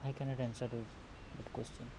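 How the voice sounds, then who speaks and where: A man's voice: medium pitch, level tone, moderate pace, noisy background. A man giving casual, hesitant gameplay commentary as a streamer, his voice murky through an earphone mic. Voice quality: murky, muffled. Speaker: gaming streamer. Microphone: earphone mic on a phone.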